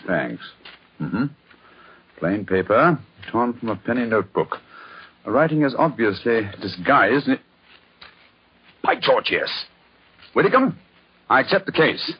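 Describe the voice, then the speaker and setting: An actor playing a brisk, courteous British gentleman detective in a theatrical 1940s radio drama style. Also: mid-range voice, husky. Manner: theatrical, urbane, animated. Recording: dull, lo-fi 1940s radio broadcast.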